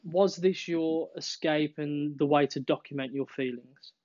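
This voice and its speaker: A man hosting a podcast, asking interview questions in a measured way with frequent fillers. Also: on the high side, darkish tone. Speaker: podcast host. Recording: dull lo-fi podcast audio.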